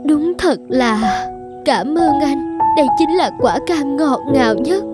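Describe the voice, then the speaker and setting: High-voiced loving cartoon mother woman acting. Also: lively intonation, slow pace. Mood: tender. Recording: loud music under the voice.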